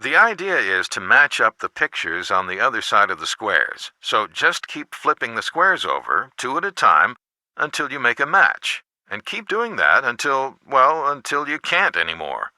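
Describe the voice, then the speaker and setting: A caring American father in a mystery game. His voice is very bright and forward, with animated intonation. He speaks articulately, giving fatherly advice, and sounds close and dry. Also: medium pitch, normal speed, no echo. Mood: friendly, encouraging. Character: teenage detective's caring, measured lawyer father. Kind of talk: video game voice acting.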